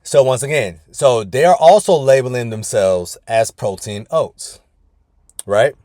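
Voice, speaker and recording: A man's voice: mid-range voice, takes it slowly, dark tone, no room sound. A man coaching a weight-loss group on a video call from his car, talking casually and often calling the group "y'all". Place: inside his parked car.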